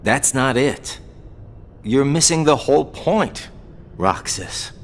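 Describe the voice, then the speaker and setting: A man's voice: medium pitch, lively intonation, slow pace, a little hiss or hum. An actor playing a troubled, weary young man in a video game, a loyal friend who speaks in a wry, terse way. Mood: frustrated regret.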